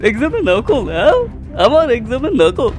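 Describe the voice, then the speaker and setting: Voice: mid-range voice, sing-song, moderate pace, close to an everyday voice. A performer voicing a stern, blustering schoolteacher in a comedy cartoon, hectoring with questions. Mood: accusing. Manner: hectoring, repeats questioning tags. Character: stern, blustering schoolteacher scolding a cheating pupil. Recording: music behind the voice.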